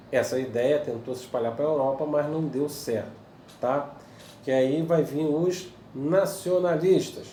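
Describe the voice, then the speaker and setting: A man's voice: on the high side, warm tone, distant mic. A male history teacher with a Brazilian Portuguese accent, giving a recorded video lesson to pupils and checking in with "tá?" while hesitating with fillers. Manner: explaining patiently with frequent "tá?" check-ins and hesitant "é, é" fillers. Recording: echoey room, voice a bit off-mic, faint background hiss.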